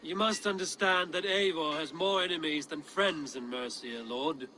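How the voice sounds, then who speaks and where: An actor playing a stern Viking warrior chieftain in a video game, speaking in a blunt, measured, commanding way. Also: male voice, fairly high pitch, pushed and bright, slight background noise, poor audio. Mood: gruff, impatient. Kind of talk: scripted video game drama acting.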